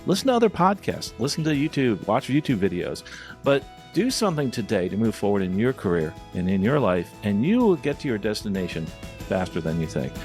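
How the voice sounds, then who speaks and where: A man's voice: fairly low pitch, very animated, a little fast, darkish tone, soft background music. A man hosting a podcast in a conversational, encouraging way, speaking directly to his listeners. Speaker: podcast host.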